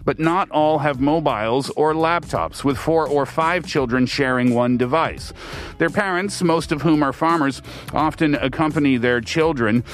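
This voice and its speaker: A man's voice: on the high side, moderate pace. A man from the East Coast of Canada hosting a radio show from a studio, explaining steadily with the odd filler. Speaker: radio host. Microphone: studio mic.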